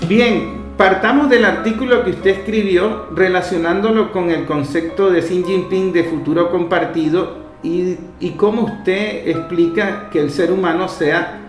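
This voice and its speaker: A man's voice: high voice, a little slow. A Venezuelan man hosting a radio interview, speaking in long, discursive sentences. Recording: roomy echo, faint music behind.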